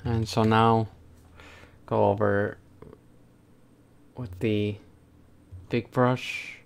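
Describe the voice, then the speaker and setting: Young male streamer, lowish and gravelly, halting self-talk while working at home. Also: flat delivery, slight background noise. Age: young adult. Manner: halting, talking to himself. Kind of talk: casual livestream muttering while doing digital art. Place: small room at home, indoors.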